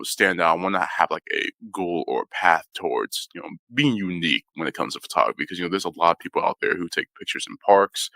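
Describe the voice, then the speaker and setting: Young male podcast guest, low gravelly voice, quick rambly delivery, dry close sound. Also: no room sound. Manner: relaxed, rambling, many "you know" fillers. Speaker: photographer guest. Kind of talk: casual interview conversation.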